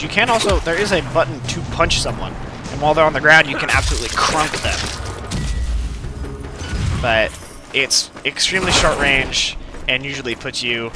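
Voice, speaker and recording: A man giving casual let's play commentary, self-deprecating and full of wry asides. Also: fairly high pitch. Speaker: gaming video host. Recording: clear game noise behind voice. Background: game gunfire and ambience.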